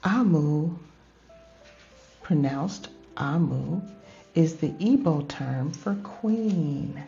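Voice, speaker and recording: A woman's voice: low voice, very slow, creaky, dark tone, dull audio, faint music behind. An older woman reading a picture book aloud expressively, in a grandmotherly manner, addressing young children and asking them questions.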